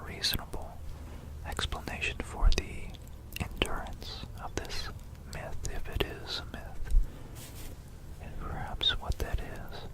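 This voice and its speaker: An ASMR host whispering a rambling, informal history narration in a breathy, unvoiced voice, halting and musing, close to a binaural microphone. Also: low-quality recording. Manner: halting, musing, repeats phrases.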